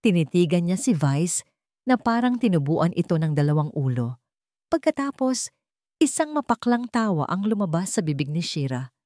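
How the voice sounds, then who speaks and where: A woman narrating a scripted dramatic story with an expressive, theatrical delivery. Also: fairly low pitch, normal speed, no echo, studio quality.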